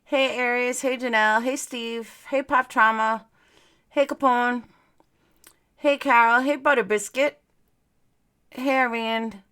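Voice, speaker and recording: Casting: middle-aged female livestream host, New York accent, bright forceful voice, blunt, sweary, rambling chat, slight aligner-affected articulation. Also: a little high, fairly even intonation, slow pace, long pauses. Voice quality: speech slightly affected by clear dental aligners. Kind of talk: casual livestream chat with viewers.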